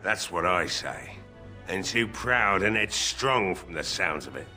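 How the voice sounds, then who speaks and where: An actor playing a despondent, drunken older blacksmith in a fantasy video game, grumbling with bitter resignation. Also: male voice, low-pitched, animated, unhurried, sharp tone, soft background music, exaggerated voice. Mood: regretful, grumbling.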